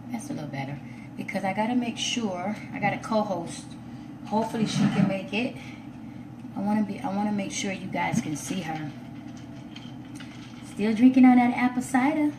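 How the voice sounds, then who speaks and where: A woman's voice: medium pitch, expressive, moderate pace, long pauses. A woman hosting a casual live stream, chatting informally to viewers and half to herself as she sets up. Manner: informal, chatty, talking to herself while setting up. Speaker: livestream host. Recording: roomy, distant mic with loud background noise.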